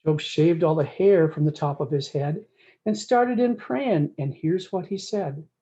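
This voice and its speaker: A woman with a soft, mellow voice reads a rhyming storybook aloud. Her delivery is folksy and speaks directly to listeners. The recording is slightly dull and lo-fi. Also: sits low, a little slow. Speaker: story time host reading a picture book. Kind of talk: read-aloud of a rhyming cowboy storybook with brief asides.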